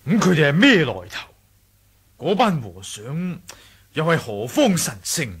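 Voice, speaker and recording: Husky young Cantonese sect leader. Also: male voice, fairly high pitch, big pitch swings, unhurried, heavily acted. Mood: concerned, curious. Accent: Hong Kong Cantonese. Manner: courteous, eagerly questioning. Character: earnest, courteous young martial-arts sect leader. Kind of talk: 1980s radio drama acting.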